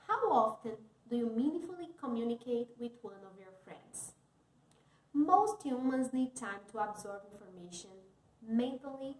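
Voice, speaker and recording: Woman, 30s, careful scripted delivery, a bit high, roomy sound. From Brazil. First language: Portuguese. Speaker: teacher. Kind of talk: scripted talk, read aloud.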